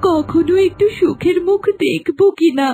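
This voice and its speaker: Cartoon casting: helpless, plaintive young girl, very high voice, meek and pleading, faint music underneath. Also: normal speed, dark tone. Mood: sorrowful. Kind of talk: cartoon fairy-tale acting.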